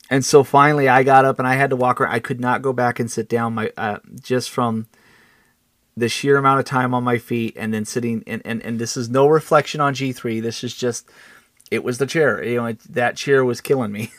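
A man's voice: mid-range voice, fairly bright tone, no echo, clean studio sound. Chuckling, rambling man chatting casually. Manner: rambling, halting, self-interrupting, chuckles while talking. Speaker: podcast co-host. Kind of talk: casual chat and storytelling.

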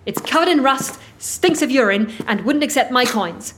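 Audio drama role: courteous stranded woman, slightly high voice, natural and apologetic. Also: animated, moderate pace, a bit bright, a little hiss or hum. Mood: polite. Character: polite, resourceful woman asking strangers for help.

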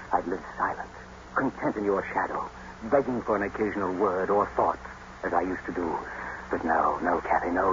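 An actor as a brooding vengeful lover, noisy old radio. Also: male voice, low voice, dull audio, close to an everyday voice. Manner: brooding, theatrical, sardonic. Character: bitter, tormented, vengeful lover turned cruel husband. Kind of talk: 1950s radio drama acting.

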